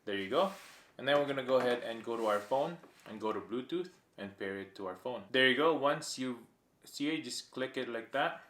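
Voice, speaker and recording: A man's voice: mid-range voice, expressive, a little fast, fairly bright tone. A man narrating a casual hands-on product demo, pointing things out piece by piece in short phrases. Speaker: product reviewer.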